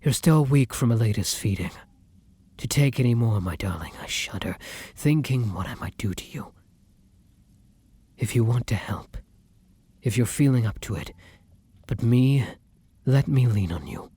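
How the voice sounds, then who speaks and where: A man playing a tender, weary vampire lover in an intimate ASMR romance roleplay, speaking gently and courteously in a breathy voice that often drops into whispers. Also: mid-range voice, expressive, measured pace, long pauses, no room sound. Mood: weary, gentle. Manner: gentle, courtly, earnest endearments.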